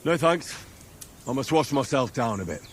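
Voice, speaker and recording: A man's voice: medium pitch, expressive, normal speed, slight background noise, natural voice. A man playing a matter-of-fact travelling soldier in a video game.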